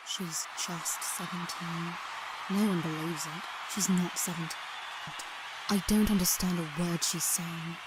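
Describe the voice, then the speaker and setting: A woman's voice: lowish voice, fairly even intonation, a little slow, very noisy, close to an everyday voice. A woman playing a starstruck seventeen-year-old girl who narrates earnestly and dramatically in a fan-dubbed comic drama, with music playing. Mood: awed.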